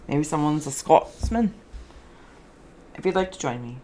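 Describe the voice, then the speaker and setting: A woman hosting an improvised spiritual card and scrying reading, soft-spoken, with a dreamy, meandering, alliterative way of talking. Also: low-pitched, expressive, unhurried. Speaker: host, tarot and scrying reader.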